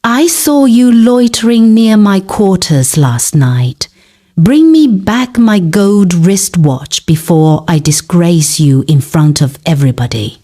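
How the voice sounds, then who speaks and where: A woman's voice: on the low side, expressive, takes it slowly. A woman storyteller voicing a strict, jealous male school hostel master who scolds and accuses. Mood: angry accusing. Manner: sharp-tongued, scolding, accusing.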